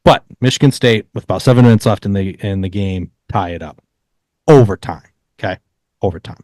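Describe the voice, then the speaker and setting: A man's voice: lowish voice, fairly quick, a little mellow, dry recording. Man casually telling stories, dry close sound. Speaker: podcast co-host. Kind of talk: casual sports storytelling.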